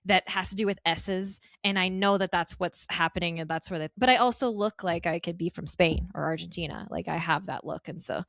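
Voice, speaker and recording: A woman in her thirties from the United States, a guest chatting upbeat and quickly on a travel podcast. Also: fairly low pitch, a bit bright, no echo. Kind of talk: casual interview conversation.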